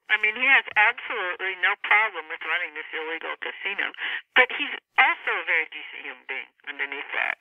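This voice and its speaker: A woman speaking as an author guest in an unscripted interview, halting and often rephrasing mid-sentence. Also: lowish voice, no room sound. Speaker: author guest discussing own novel. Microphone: telephone. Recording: tinny, narrow phone line.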